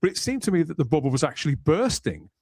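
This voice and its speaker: A man's voice: a little high, sing-song, fast talker, darkish tone, breathy edge, no echo, clean studio sound. A middle-aged man hosting a podcast discussion in a reflective, explanatory way. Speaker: podcast host.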